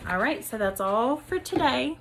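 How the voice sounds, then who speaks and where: A woman teacher reading a children's story aloud, expressive and with dramatic emphasis. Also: high voice, normal speed, a little hiss or hum.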